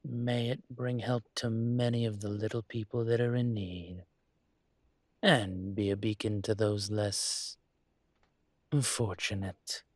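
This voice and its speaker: A man playing a courtly, possessive dragon mafia boss in an intimate audio roleplay, speaking in a suave, teasing, formal way with a husky voice. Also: fairly low pitch, level tone, takes it slowly, no echo, studio quality. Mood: warm, celebratory. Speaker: voice actor.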